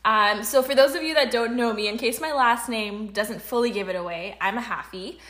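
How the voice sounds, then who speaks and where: A young Canadian woman in her early twenties with a fairly high voice. She talks as a podcast co-host, conversational and articulate, often spinning out her thoughts at length. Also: fairly quick, fairly bright tone. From Canada, grew up in Vancouver. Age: early 20s.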